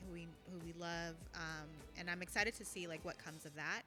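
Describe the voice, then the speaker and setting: A woman's voice: lowish voice, fairly even intonation, a little fast, sharp tone. An American woman co-hosting a radio show in casual chat, speaking informally with affection and expression. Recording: music playing behind.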